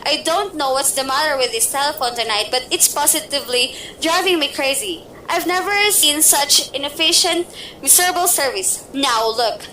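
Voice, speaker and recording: A woman's voice: high-pitched, pushed and bright. A woman playing a nervous, bedridden wife who frets, complains and panics in an amateur radio play. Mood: indignant. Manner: fretful, complaining, quickly panicky. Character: nervous bedridden rich wife, shrill and demanding. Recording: noisy amateur recording.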